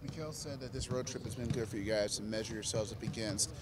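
A male reporter asking a basketball player quick, conversational questions. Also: on the low side. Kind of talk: press question. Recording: crowd chatter behind.

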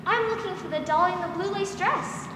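A woman's voice: very high voice, normal speed, a bit bright. A woman playing a curious, earnest little girl in a 1940s-style radio drama. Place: school theater stage. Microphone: stand microphone, masked performer. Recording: very echoey noisy theater hall, distant.

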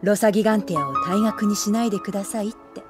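A woman's voice: mid-range voice, fast, over music, natural voice. An actress playing a pushy, scheming schoolgirl reporter, insinuating and coaxing, in an anime audio drama. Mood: sly, insinuating.

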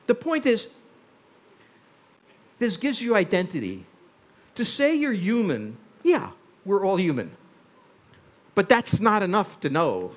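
Older hungarian-born guy talking slow on a stage, voice goes way up and down, kinda dry humor. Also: high voice, huge pitch swings. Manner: wry, deliberate, pacing the stage. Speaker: speaker. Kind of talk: stage talk. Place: large tent stage with audience.

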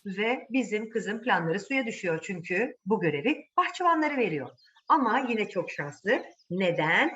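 A woman's voice: medium pitch, sing-song, moderate pace, sharp tone. Woman storyteller with music behind. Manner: conversational, asks listeners questions. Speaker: children's storyteller. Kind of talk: animated storytelling addressed to children. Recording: muffled audio with music behind.

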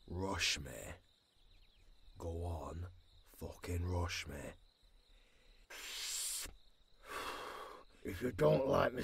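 A man with a British accent playing a tough old werewolf mentor in an audio roleplay, gruff but caring, with a growling, raspy voice and a commanding manner. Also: extremely low pitch, slight background noise, exaggerated voice. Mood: fierce, goading. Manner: slow, deliberate, commanding.

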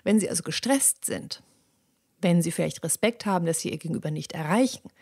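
A woman hosting a podcast, explaining how the voice works in a lively, chatty monologue with many fillers and repeats. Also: on the low side. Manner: lively, acts out little dialogues in quick voices; frequent fillers and repeated words. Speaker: podcast host and voice coach.

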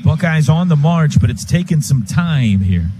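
An American man calling live football on the radio as play-by-play announcer, in clipped phrases that build toward excited calls. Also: on the high side, normal speed. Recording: stadium booth with loud crowd noise.

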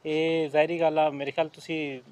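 Punjabi-accented man, higher voice, outdoors. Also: little pitch movement, moderate pace, a little mellow, poor audio. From Pakistan. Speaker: charity worker interviewing locals. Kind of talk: casual on-site conversation. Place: outdoors at an unfinished brick building site. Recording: outdoor location sound with clear background noise.

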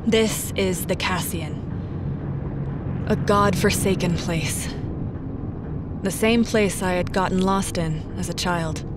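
A woman's voice: fairly low pitch, slow, pauses often, heavy background noise. A determined woman detective. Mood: brooding. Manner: clipped, determined. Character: tough woman detective hunting a killer underground. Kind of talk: audio drama acting with narration. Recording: loud music behind.

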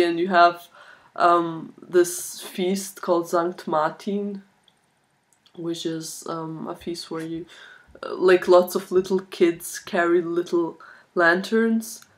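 A woman in her twenties talks casually into a laptop microphone at home. Her voice sits a little low for a woman. She speaks very slowly, halting often as she thinks through what to say. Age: 20s. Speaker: video blogger, art student. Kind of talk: casual chat to camera. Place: small furnished room indoors. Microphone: laptop built-in microphone at arm's length.

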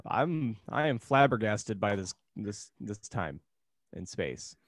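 A man's voice: on the low side, animated, takes it slowly, dry recording, clean studio sound. A man co-hosting a podcast in casual, joking banter. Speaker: podcast co-host. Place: home room, online group call. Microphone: close podcast microphone.

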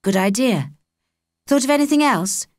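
A woman's voice: a little high, lively intonation, normal speed, a little mellow, dry recording, clean studio sound, pitched above the performer's own voice, close to an everyday voice. A British woman voicing a cocky, sardonic young boy with a blunt, offhand manner in a children's audiobook. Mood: matter-of-fact.

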